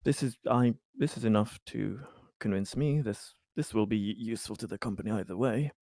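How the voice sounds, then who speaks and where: Low-voiced man playing a tabletop game. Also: normal speed, vocal fry, dark tone, no room sound, clean studio sound. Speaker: tabletop roleplaying player. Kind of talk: casual in-character roleplay.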